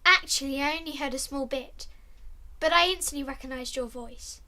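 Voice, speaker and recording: An actress as a teenage schoolgirl with a very high, bright voice. She is hesitant, questioning and a little evasive, as in a family drama. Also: moderate pace. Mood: curious.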